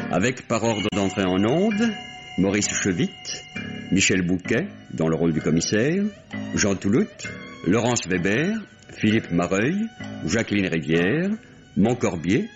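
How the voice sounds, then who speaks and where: A man's voice: lowish voice, sing-song, unhurried. A man formally announces scripted radio drama credits on a vintage recording. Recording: dull vintage radio recording under music. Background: music.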